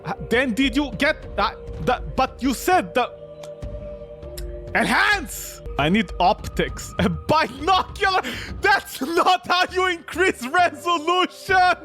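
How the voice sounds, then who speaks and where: An exasperated game streamer reads story text aloud at an unhurried pace, then reacts with theatrical disbelief. The pitch swings widely. Music plays under the voice. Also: lively intonation, pushed and bright. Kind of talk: gaming commentary, reading visual novel text aloud and reacting.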